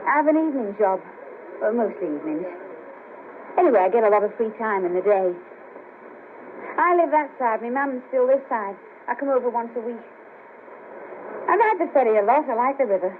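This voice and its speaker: A woman's voice: fairly high pitch, expressive, a little fast, long pauses, a little hiss or hum, natural voice. An actress playing a friendly, blunt, down-on-her-luck Merseyside sex worker in a 1970s radio play. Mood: chatty, friendly. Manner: bawdy, chatty, blunt. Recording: patchy narrow-band cassette of 1970s radio broadcast.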